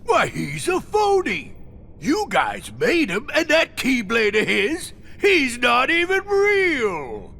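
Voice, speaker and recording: A man voicing a burly, mocking cartoon cat villain in a game, with gravelly, jeering tough-guy slang. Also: extremely high pitch, huge pitch swings, takes it slowly, pushed and bright, exaggerated voice. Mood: scornful. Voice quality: gravelly, creaky. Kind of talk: animated game voice acting. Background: game music.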